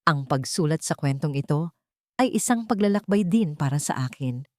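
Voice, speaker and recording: Low-pitched woman storyteller, widely varied intonation. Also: animated, normal speed, warm tone, dry recording, studio quality. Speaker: storyteller, host of an audio story channel. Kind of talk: scripted dramatic story narration.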